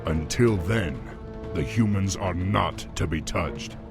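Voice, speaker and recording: A man playing a stern, commanding young orc war chief in a video game, with a husky, gravelly voice and a firm, authoritative manner. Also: sits low, slow, music in the background, full character voice. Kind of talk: dramatic video game acting.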